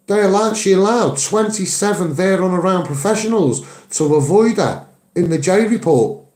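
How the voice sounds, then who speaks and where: Man, Liverpool accent, activist monologue, earnest, emphatic, dark mellow tone, echoey room sound. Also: on the high side. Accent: Liverpool (Scouse). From Liverpool, England. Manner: earnest, emphatic, repeating phrases for stress. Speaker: activist and online video maker. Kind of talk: impassioned campaigning monologue to camera.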